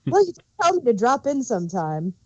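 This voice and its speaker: A woman co-hosting a casual review podcast, speaking in a halting way and often restarting her sentences. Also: on the high side, very animated, no echo. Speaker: podcast co-host reviewing audio dramas. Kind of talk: casual unscripted chat. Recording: dull, dry podcast microphone.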